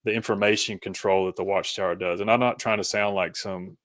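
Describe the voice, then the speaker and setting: A man speaking as an interview guest, reflective and conversational, thinking aloud in halting, thoughtful phrases. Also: low voice, steady tone, a bit bright, dry recording.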